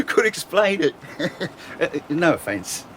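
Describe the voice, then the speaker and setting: A man's voice: high voice, very animated, very slow, fairly bright tone, a little hiss or hum, exaggerated voice. An actor playing a chummy, jokey male friend who laughs a lot and later stammers nervously as a witness in a radio drama. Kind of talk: 1980s radio drama acting.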